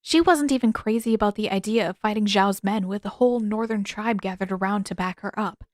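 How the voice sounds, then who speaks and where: A woman's voice: mid-range voice, a little fast, dry recording, studio quality. A woman reading scripted fiction as an audiobook narrator.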